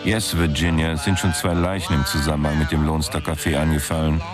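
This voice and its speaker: An actor playing a wry, laconic country singer turned detective, narrating a radio crime drama in a deadpan, sardonic manner. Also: male voice, very deep voice, fast talker. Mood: ominous, sardonic. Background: music under the voice.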